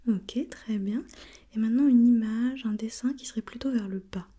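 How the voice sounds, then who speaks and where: A woman whispering breathily in an ASMR role play, gently putting questions step by step as an examiner and softly repeating herself. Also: fairly high pitch, moderate pace, husky. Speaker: examiner in a role-played visual test.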